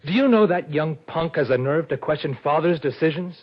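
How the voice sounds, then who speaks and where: An actor playing a cocky, scheming young American law student who also narrates an old-time radio drama. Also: male voice, fairly high pitch, lively intonation, a little fast. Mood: scornful. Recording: dull vintage radio recording with music.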